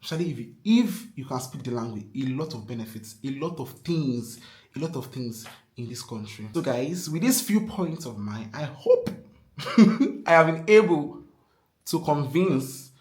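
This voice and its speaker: An earnest Nigerian-accented man speaking straight to camera in a mid-pitched voice. He drives his points home by repeating them and pleads openly with his viewers. The sound bounces around a reverberant room. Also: lively intonation, moderate pace, darkish tone. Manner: emphatic, repeats key phrases, earnest and pleading. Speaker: vlogger. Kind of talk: casual advice vlog to camera. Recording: echoey room.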